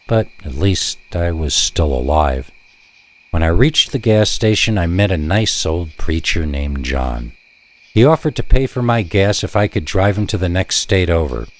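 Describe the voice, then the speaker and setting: A man reading a first-person scary story in a measured, deliberate way with dramatic pauses. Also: low-pitched, animated. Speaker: narrator reading scary stories.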